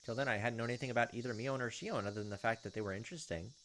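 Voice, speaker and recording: A man's voice: medium pitch, very fast, fairly bright tone, a little hiss or hum. A man hosting a let's play, reading game text aloud and adding wry, casual commentary. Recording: faint game music behind the voice.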